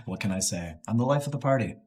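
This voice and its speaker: A man's voice: fairly low pitch, lively intonation, fast talker, darkish tone, natural voice. An actor playing a scheming young man who wants to inherit his father's company, smug and sneering. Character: scheming smug young male heir, the brother. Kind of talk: melodrama acting.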